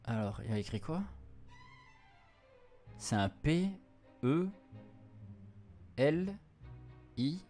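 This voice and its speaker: A man's voice: mid-range voice, sing-song, very slow, long pauses, darkish tone. Young man, informal gaming commentary over music. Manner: informal, hesitant, stumbling while translating on the fly. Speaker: streamer, let's-play host. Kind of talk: casual playthrough commentary, translating game text aloud. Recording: music behind the voice. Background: game music.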